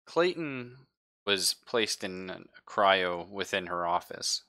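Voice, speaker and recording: A man's voice: sits low, expressive, takes it slowly, pushed and bright. A man talking his way through an improvised tabletop roleplaying session.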